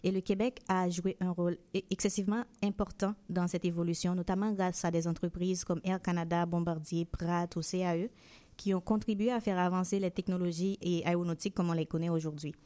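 A woman with a fairly low voice and a dark, mellow tone. She speaks as an interview guest, giving articulate answers that sound prepared in advance. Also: fairly even intonation, fairly quick. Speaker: interview guest, aerospace industry representative.

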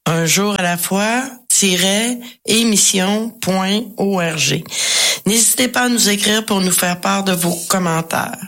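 A woman's voice: mid-range voice, unhurried, a bit bright. A woman hosting a programme, giving announcements and introducing her guest. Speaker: radio show host. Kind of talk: show presenting, announcements.

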